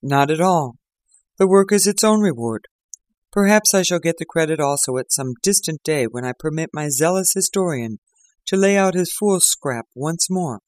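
A man voicing a calm, assured gentleman detective with a dryly amused manner. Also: on the high side, moderate pace, no echo, studio quality, lightly acted.

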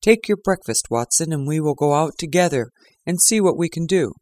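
A reader voicing a measured, dryly ironic male detective in a storybook reading. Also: medium pitch, animated, a little mellow, dry recording, clean studio sound, close to an everyday voice.

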